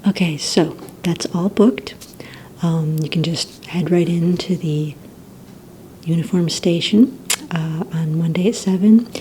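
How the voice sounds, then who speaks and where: A woman speaks low and very mellow in a soft, breathy half-whisper. She plays a courteous, organized receptionist in an ASMR roleplay, gently and politely walking the listener along. A faint rumbling noise sits behind her voice. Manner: gentle, polite, unhurried customer-service patter. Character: courteous, organized female villain-agency receptionist. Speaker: ASMR roleplay performer as a villain recruitment receptionist.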